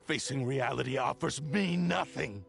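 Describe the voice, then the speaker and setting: A proud, gravelly cursed sword spirit. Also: male voice, medium pitch, normal speed. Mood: grim, bitter. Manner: haughty, archaic formal phrasing, menacing. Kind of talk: dramatic video game voice acting. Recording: music behind the voice. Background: game background music.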